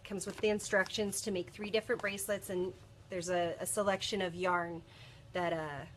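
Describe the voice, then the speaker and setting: A woman's voice: lowish voice, level tone, moderate pace, a little hiss or hum. Stuffed-up woman chatting on a live stream. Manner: chatty, many ums and restarts. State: congested from a cold. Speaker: bead shop video host. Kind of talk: casual live stream chat. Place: cluttered office workroom, indoors.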